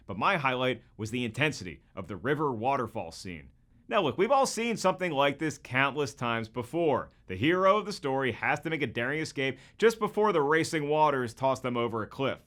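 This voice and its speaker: A man presents a scripted video commentary as its host. His voice sits at an average pitch, and his delivery is colloquial and emphatic, with jokey asides worked into the script. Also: a bit bright.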